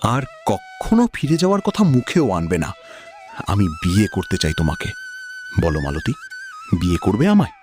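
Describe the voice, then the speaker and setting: Young landlord's heir, male actor: proud, insistent, theatrical cartoon delivery, hugely animated pitch over faint music. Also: moderate pace, long pauses. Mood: insistent, ardent. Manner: theatrical, emphatic, questioning. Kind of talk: dramatic cartoon voice acting.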